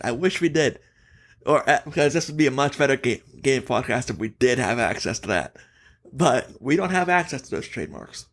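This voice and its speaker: A man hosting a casual gaming podcast, giving opinions emphatically in a halting, self-correcting way. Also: mid-range voice, fairly bright tone. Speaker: podcast host.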